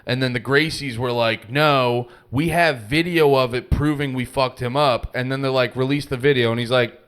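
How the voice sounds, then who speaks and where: A man co-hosting a podcast, telling stories in relaxed casual chat full of slang and swearing. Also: medium pitch, normal speed, clear, resonant tone. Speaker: podcast co-host.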